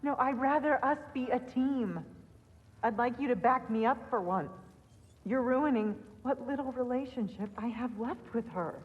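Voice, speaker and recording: A woman's voice: on the high side, normal speed, big-room sound, phone-quality sound, natural voice. An actress playing a worried queen who speaks earnestly and firmly to her family. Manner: earnest and firm.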